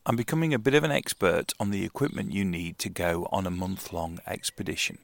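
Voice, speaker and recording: A man hosting a casual solo podcast, speaking outdoors in a garden. He is croaky and nasal from hay fever, and rambles conversationally with frequent ums and restarts. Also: low voice, fairly quick, no echo. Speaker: podcast host.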